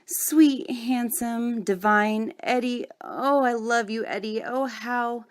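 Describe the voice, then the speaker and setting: A woman's voice: on the high side, takes it slowly. A woman playing a besotted young wife in a horror comic drama, speaking in a theatrical, melodramatic way. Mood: adoring, gushing. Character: besotted young wife, cold at first, then gushing with adoration.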